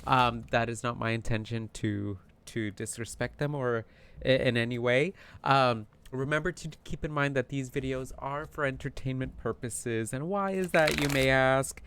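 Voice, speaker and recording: A man hosting a casual tarot card reading, talking in an animated, chatty way with plenty of fillers, his voice tired from yelling. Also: mid-range voice, normal speed, clear, resonant tone. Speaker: tarot reader.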